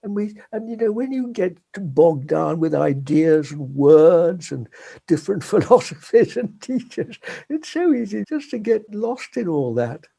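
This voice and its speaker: An older Englishman, speaking in a gentle, unhurried way as an interview guest and laughing softly between thoughts. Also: high voice, expressive, vocal fry, breathy edge. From England. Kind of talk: reflective interview talk.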